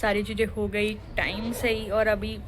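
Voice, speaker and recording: A young woman with a hoarse, sore throat, chatting casually to viewers in a daily vlog as she films on her phone. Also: mid-range voice, flat delivery, moderate pace, slight background noise. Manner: chatty, addresses viewers as guys. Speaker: vlogger and home tutor. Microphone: handheld phone.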